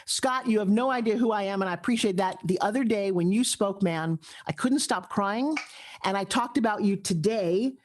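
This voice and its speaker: A woman's voice: mid-range voice, moderate pace, slight background noise. A woman hosting a live audio chat room, speaking effusively and gushing over her guests. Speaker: host.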